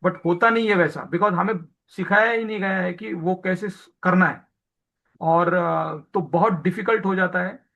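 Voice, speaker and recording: A man's voice: high voice, a little slow, gravelly, a bit bright. A man giving a training lecture on hospital audits, switching between Hindi and English terms, with frequent hesitation fillers and everyday examples. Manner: explains through everyday home examples.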